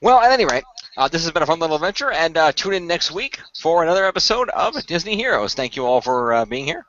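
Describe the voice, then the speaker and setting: Talkative guy hosting over voice chat, bright quick voice, slightly dull audio, explains everything. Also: on the high side, sing-song, a little hiss or hum. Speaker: co-creator and host of an improvised radio play. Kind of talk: casual post-game chat. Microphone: online voice call.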